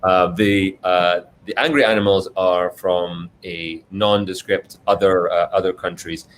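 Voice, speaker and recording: A low-pitched man from Scotland speaks as an author in a live question session. He is agreeable and feels his way through answers thoughtfully. There is clear background noise on the recording. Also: fairly even intonation, measured pace, a bit bright. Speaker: children's book author, guest.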